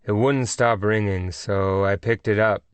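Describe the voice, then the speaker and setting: A man reading an audiobook voices a cinema usher, flat and matter-of-fact. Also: lowish voice, a little slow, clean tone, no room sound, lightly acted. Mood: deadpan. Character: gruff adult male cinema usher.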